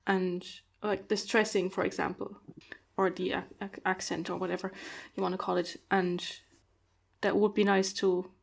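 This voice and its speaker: A woman's voice: mid-range voice, level tone, normal speed, gravelly, slightly rough. A woman, not a native speaker of English, chatting on a video call in a thoughtful, hesitant way with many fillers. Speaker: podcast host. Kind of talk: casual conversation.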